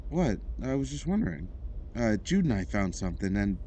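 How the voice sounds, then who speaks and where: Teen brother for audio drama played by a man, teasing and curious, mellow tone, animated pitch, noticeable background clatter. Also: moderate pace, a little hiss or hum. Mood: curious, puzzled.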